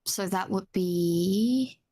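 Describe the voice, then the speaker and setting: Slow-talking woman playing tabletop games. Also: medium pitch, mellow, breathy edge. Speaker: player in a tabletop game. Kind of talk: casual game chat.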